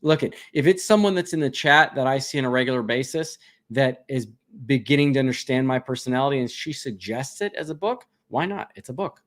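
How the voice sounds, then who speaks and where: A man's voice: medium pitch, expressive, fairly quick. Chatty man reading out viewer questions. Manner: reads chat questions aloud and answers chattily, laughing often. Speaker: livestream host answering viewer questions. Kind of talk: casual live Q&A chat.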